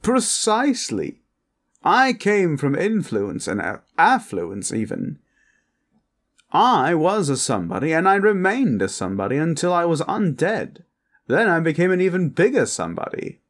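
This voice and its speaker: A man's voice: on the high side, very animated, measured pace, a bit bright, pitched above the performer's own voice, full character voice. A man voicing a posh, cheeky, lewd and vain vampire with a flamboyant, pompous manner in an audio roleplay. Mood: vain, indignant.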